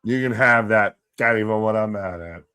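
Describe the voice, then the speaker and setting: A man's voice: on the low side, fairly quick, clean tone, no echo. A man co-hosting a live wrestling watch-along stream, cracking jokes and swearing freely. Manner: jokey, excitable, swears freely. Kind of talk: casual live-stream commentary.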